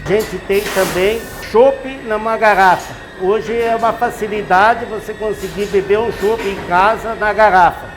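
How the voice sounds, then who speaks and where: A man, a master brewer explaining his craft in an unhurried, folksy, instructive way. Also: high voice, steady tone, long reverb tail, over music. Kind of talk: explanatory interview answers.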